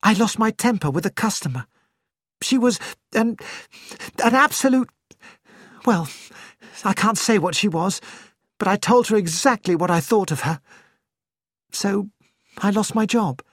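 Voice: mid-range voice, no echo, studio quality, pitched above the performer's own voice, close to an everyday voice. A narrator voicing an outspoken young woman. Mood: frank. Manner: quick, blunt, frank.

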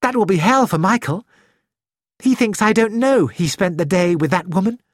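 A woman's voice: medium pitch, animated, moderate pace, no echo, clean studio sound, natural voice. A woman playing a breezy, flighty young wife. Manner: breezy, frank, cheerful. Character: flighty, self-assured young actress wife.

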